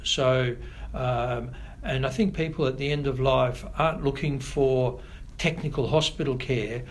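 A middle-aged Australian man, a family doctor, answering interview questions in a measured, thoughtful way with frequent pauses. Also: mid-range voice, steady tone, a little hiss or hum. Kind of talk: podcast interview.

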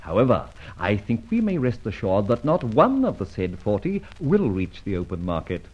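Suave, theatrical gentleman thief, lively delivery. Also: on the low side, normal speed, breathy edge, heavily acted. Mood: sly, suave. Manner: theatrical, urbane, chuckling, florid phrasing. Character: suave, amused gentleman thief adventurer, self-satisfied and flippant. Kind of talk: 1950s-60s radio serial acting. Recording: dull vintage radio recording, light noise.

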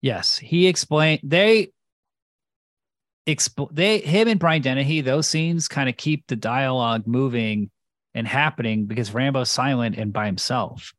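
A man's voice: mid-range voice, measured pace, fairly bright tone, dry recording, clean studio sound. A man in a casual, joking podcast conversation about movies, often acting out lines. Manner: joking, acts out quotes. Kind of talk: casual comedic chat.

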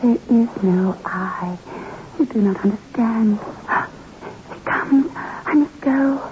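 An actress playing a mysterious, mournful ghost woman, speaking in a hushed, cryptic whisper in an old radio horror drama. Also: on the high side, slow pace. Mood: hushed warning. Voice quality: breathy whisper. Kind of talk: 1960s radio horror acting. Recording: noisy, muffled old radio recording.

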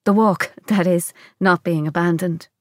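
A woman's voice: lowish voice, fairly even intonation, unhurried, no room sound, studio quality, lightly acted. Woman reading as a playful, wry young woman. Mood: playful, teasing. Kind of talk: audiobook-style novel reading.

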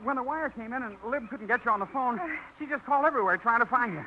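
Excited young errand boy, fast, very high, muffled old radio sound. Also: noisy background. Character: eager young man messenger Johnny. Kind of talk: 1950s radio drama acting. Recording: dull old radio broadcast.

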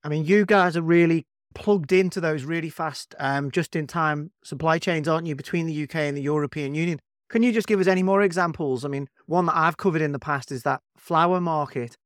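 Higher-voiced male interviewer, dry sound. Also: brisk, few pauses, no room sound. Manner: conversational, probing. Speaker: podcast host, interviewer. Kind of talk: interview questions.

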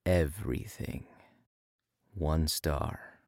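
A man's voice: extremely slow, a little mellow, no room sound, clean studio sound, heavily acted. An actor playing a bitter, conspiratorial man with an ominous, dramatic delivery in an audio drama monologue. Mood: grave, threatening. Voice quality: very deep, gravelly. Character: bitter, conspiratorial man reading an angry one-star review.

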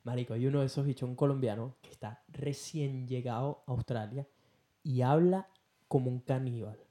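A man's voice: mid-range voice, normal speed, mellow. Venezuelan man swapping slangy stories. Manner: slangy, jokey storyteller who acts out dialogue. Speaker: podcast co-host. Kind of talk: casual anecdote-telling chat.

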